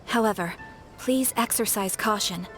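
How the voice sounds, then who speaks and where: A woman playing a polite, composed guild receptionist in an anime video game, speaking in a formal, courteous, measured way. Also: medium pitch, fairly bright tone, soft background music, natural voice. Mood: courteous, earnest.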